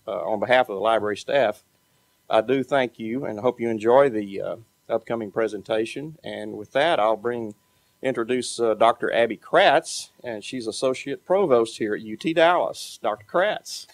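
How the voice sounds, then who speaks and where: Gravelly low-voiced man at a podium. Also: no echo. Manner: halting, many uh fillers and restarts. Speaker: library program manager. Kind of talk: speech at an award event. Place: large banquet hall. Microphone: podium microphone.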